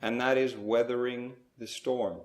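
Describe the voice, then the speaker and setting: A man leading a slow guided spiritual meditation, speaking in measured short phrases with pauses between them. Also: mid-range voice, fairly even intonation, reverberant.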